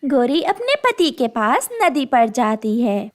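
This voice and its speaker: A woman's voice: on the high side, big pitch swings, slow pace. A woman giving scripted narration for a children's story.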